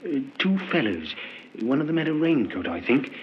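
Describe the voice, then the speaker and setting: Humble male porter, husky and hesitant, muffled vintage radio drama. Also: medium pitch, big pitch swings. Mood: halting, helpful. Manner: halting, repeats words, deferential. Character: humble male building porter, unsure but helpful witness. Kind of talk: 1950s radio crime drama acting.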